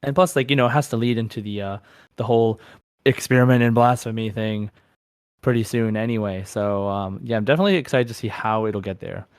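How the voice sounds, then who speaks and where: A man's voice: lowish voice, brisk, clean tone, no room sound, clean studio sound. A man chatting casually as a podcast co-host about games, in an enthusiastic, rambling way. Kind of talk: casual gaming podcast chat.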